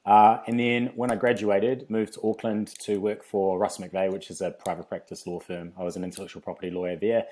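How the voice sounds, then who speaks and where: A man with a New Zealand accent talks as a podcast guest in a slightly low voice. He rambles through his story in a relaxed, chatty way, filling the gaps with ums and laughing easily. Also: fairly quick, few pauses. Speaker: podcast guest, fitness company founder.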